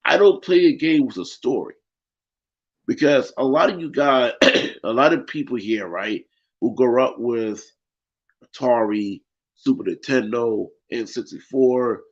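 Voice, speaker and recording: A man's voice: medium pitch, lo-fi sound. A man hosting a casual gaming podcast, losing his voice and making his point emphatically, often asking "right?". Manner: emphatic, repeats himself, keeps asking "right?". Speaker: podcast host.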